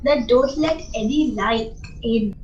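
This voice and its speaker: A woman's voice: fairly high pitch, takes it slowly, a little mellow, muffled recording, slight background noise. A woman playing a polite, curious village guest at a spooky house in an amateur radio play. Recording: roomy, distant microphone.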